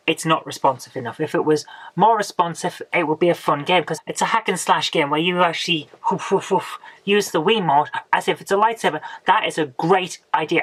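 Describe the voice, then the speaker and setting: A woman, hoarse from a coming cold, hosting a casual retro game haul video. She rambles chattily and often ends with tag questions. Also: deep voice, dry recording, rough recording. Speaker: retro gaming channel host.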